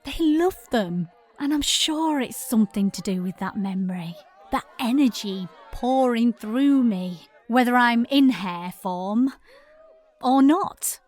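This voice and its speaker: An actress playing a haunted folk singer who shapeshifts, narrating her story in a measured, lyrical, reflective way in an audio drama. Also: fairly high pitch, lively intonation, darkish tone. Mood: wistful, reflective. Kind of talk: audio drama monologue, first-person narration.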